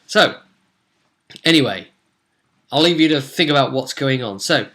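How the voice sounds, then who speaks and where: A young man giving a casual, halting, animated storytelling recap of his tabletop roleplay campaign to camera. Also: medium pitch. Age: young adult. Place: small room at home, indoors.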